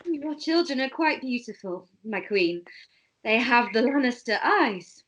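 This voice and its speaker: A woman's voice: on the high side, expressive, unhurried, fairly bright tone, low-quality recording. A woman playing a gracious noble hostess, polite and earnest, in an amateur script table read. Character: gracious, slightly anxious noble lady and hostess.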